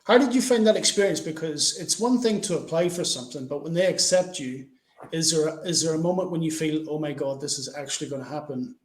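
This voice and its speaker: A man's voice: fairly high pitch, fast talker. A man interviewing a guest, with reflective, tentative phrasing. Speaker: podcast host, interviewer. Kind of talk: conversational interview. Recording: echoey, roomy sound.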